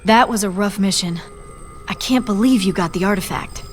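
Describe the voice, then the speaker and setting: An actress playing an earnest, anxious scientist doctor with a precise, educated delivery in a game cutscene. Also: female voice, medium pitch, moderate pace, faint music behind, lightly acted. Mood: concerned. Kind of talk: video game cutscene acting.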